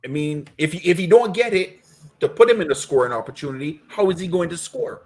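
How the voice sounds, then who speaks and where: A man's voice: a little high, expressive, quick pace, a little mellow. A man co-hosting a casual football reaction chat, arguing his point and repeating it. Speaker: football fan show co-host.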